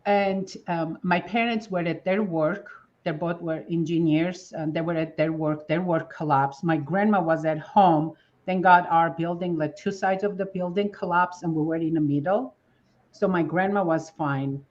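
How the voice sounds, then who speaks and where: Woman, from Armenia, fifties, guest, earnest storytelling, low voice, dull lo-fi sound. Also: steady tone, normal speed, a little mellow. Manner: earnest, grateful, speaks in fragments. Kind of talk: conversational storytelling.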